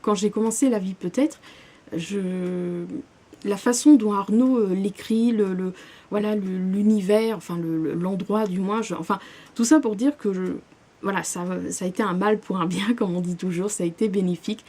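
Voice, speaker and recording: A woman's voice: mid-range voice, moderate pace, mellow. A young woman vlogging about her current reading in a casual, hesitant way, full of fillers and restarts. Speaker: book vlogger.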